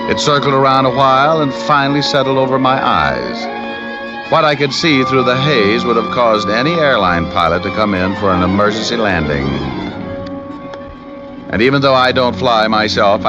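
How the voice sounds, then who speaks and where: Man acting a wisecracking American private eye, low swooping voice, wry and flirty, vintage radio drama, muffled recording with music. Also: natural voice. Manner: wry, glib. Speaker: actor playing the detective lead and narrator. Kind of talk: 1940s-50s radio detective acting, wry narration.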